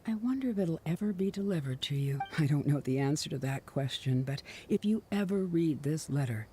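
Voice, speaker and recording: Mellow, dying parent, soft-spoken. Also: female voice, deep voice, animated, fairly quick, a little hiss or hum. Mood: resigned, loving. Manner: soft, breathy, tender, solemn. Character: loving, dying parent reading a farewell letter. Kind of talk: dramatic video game letter narration.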